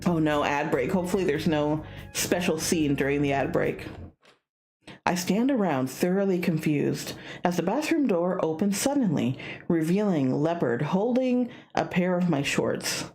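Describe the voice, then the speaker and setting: Animated lower-pitched woman streamer. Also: normal speed, soft background music. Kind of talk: visual novel playthrough, reading aloud with casual asides.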